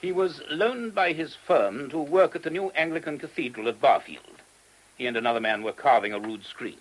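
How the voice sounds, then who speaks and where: An actor playing a courteous, earnest monastery abbot with a British accent and a hesitant, genteel manner in a radio play. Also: male voice, on the high side, animated, moderate pace, slight background noise, lightly acted, low-quality recording. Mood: earnest, explaining. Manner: hesitant, genteel, old-fashioned English turns of phrase. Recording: old radio drama recording.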